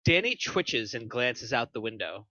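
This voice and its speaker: A man's voice: medium pitch, sing-song, unhurried, bright, forceful tone, dry recording, lo-fi sound. A man narrating the stage directions of a script at a table read. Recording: clear background noise.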